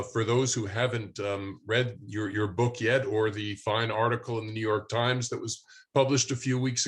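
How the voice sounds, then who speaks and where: Older man, professor interviewer, low voice, halting, lots of fillers, video call. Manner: hesitant, many fillers and restarts. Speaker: academic interviewer. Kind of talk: conversational interview question.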